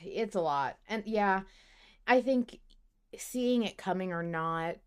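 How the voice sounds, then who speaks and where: A woman hosting a podcast, chatting casually and emphatically, with informal, animated delivery. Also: medium pitch, slow pace, clear, resonant tone. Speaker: podcast host. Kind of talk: casual fan podcast chat.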